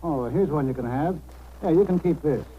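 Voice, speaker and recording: An actor playing a kindly, generous, well-spoken country householder in a 1930s radio drama. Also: male voice, fairly high pitch, moderate pace, narrow, tinny audio, lightly acted. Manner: genial, helpful, well-spoken. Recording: noisy 1930s radio broadcast.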